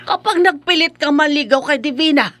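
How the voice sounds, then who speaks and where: A woman's voice: high-pitched, fairly quick, a bit bright, no echo. A woman playing a middle-aged, superstitious village mother in a radio horror drama, speaking emphatically and insistently. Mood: stern, alarmed. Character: grieving, stern, superstitious village mother of grown son.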